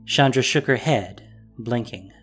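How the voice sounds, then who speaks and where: Male narrator for fantasy audio drama, mid-pitched, scripted storytelling with music underneath. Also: a little slow, a bit bright.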